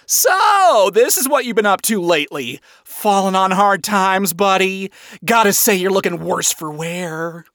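A man's voice: sits high, animated, unhurried, a bit bright, dry recording, clean studio sound, voice pushed up, exaggerated voice. A man voicing a smug, theatrical devil king of Hell who sneers and mocks in an audio story. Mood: smug mockery.

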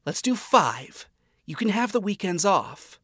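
Narrator putting on a higher eager princess voice, sweet and earnest, really bouncy. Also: big pitch swings, measured pace, fairly bright tone, husky, no room sound, studio quality, full character voice. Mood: playful. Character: earnest, upbeat young demon princess.

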